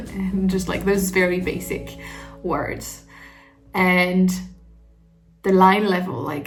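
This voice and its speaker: A woman's voice: lowish voice, creaky. A woman whose native language is French, talking casually and reflectively to her vlog, hesitant as she searches for words. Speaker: writing vlogger.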